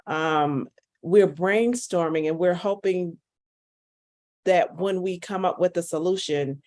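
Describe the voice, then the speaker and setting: A woman's voice: on the low side, takes it slowly, darkish tone. A woman speaking off the cuff in an earnest, emphatic, repetitive motivational way. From United States, Michigan. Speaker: community member guest. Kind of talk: unscripted motivational talk.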